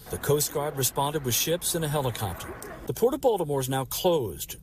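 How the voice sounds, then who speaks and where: Lively male news narrator, slightly high voice. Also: slight background noise. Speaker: news reporter. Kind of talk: scripted news package narration.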